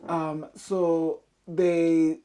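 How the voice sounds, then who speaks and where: A man's voice: on the high side, level tone, drawn-out words, dark tone, smooth, low-quality recording. A man in his late twenties with a Nigerian Yoruba accent tinged with British, talking candidly and chattily as a guest in an interview. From born in Britain, raised in the United States. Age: late 20s. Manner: candid, chatty, frequent "do you know what I mean". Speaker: guest, makeup artist. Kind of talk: casual interview chat.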